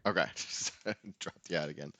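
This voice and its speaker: A man's voice: deep voice, normal speed, pushed and bright, no room sound, dull audio. A man hosting a casual tabletop gaming talk show. He speaks in a loose, rambling way and laughs often. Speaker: podcast host. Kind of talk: casual chat.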